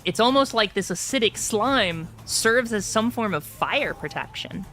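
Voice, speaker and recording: A woman with a bright voice acting as game master, explaining the action and rules of a tabletop roleplaying game. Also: medium pitch, lively intonation, a little slow. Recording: background music under the voice.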